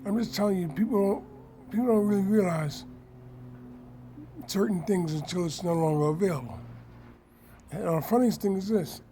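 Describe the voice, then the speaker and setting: A man talks straight to his followers in a casual live stream, repeating his points with emphasis. His voice is dark and mellow, a little rough from a cold he is getting over. A faint hiss of noise sits under his talk. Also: high-pitched, moderate pace, long pauses. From New York. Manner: emphatic, repetitive, self-promoting. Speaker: fashion designer and business owner.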